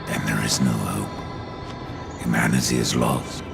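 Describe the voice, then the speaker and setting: A man playing a grim undead horseman in a video game, gravelly, terse and deadpan. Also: rumbling low, slow pace, heavily acted. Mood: somber. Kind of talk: video game cutscene acting. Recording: music behind the voice.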